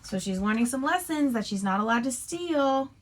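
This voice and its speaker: A woman teacher reading a picture book aloud to young children, expressive and instructive, with casual asides. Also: on the high side, moderate pace, slight background noise.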